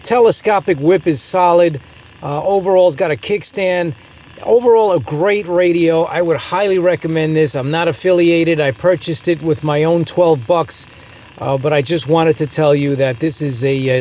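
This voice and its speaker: A man with an American accent and a slightly high-pitched voice presents a hands-on product review. His delivery is casual and conversational, like a hobbyist host talking to his viewers. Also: moderate pace, a little mellow. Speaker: host of a radio review channel.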